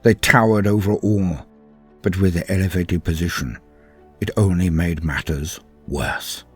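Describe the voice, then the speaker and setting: Male narrator for dramatic fiction: very low, rough-edged voice, grave and theatrical delivery over faint music. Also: fairly even intonation, measured pace. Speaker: storyteller narrating fan fiction. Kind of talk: dramatic scripted first-person narration.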